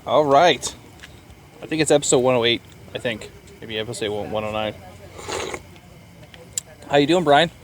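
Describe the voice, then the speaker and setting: A man's voice: medium pitch, moderate pace, long pauses, noisy background. A man hosting a podcast in casual, rambling, joking banter. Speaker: podcast host.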